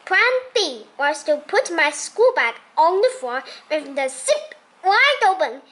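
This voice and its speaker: A woman reading a children's story aloud expressively, in a childlike storytelling manner with deliberate phrasing. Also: sits high, big pitch swings, a bit bright. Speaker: storybook reader.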